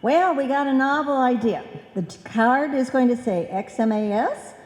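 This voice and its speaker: A woman's voice: high voice, normal speed, a little mellow, close to an everyday voice. A woman playing a scatterbrained, trusting wife in an old-time radio comedy, earnest and muddled. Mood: cheerful. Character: scatterbrained, trusting, chatty wife and card seller. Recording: echoey live hall.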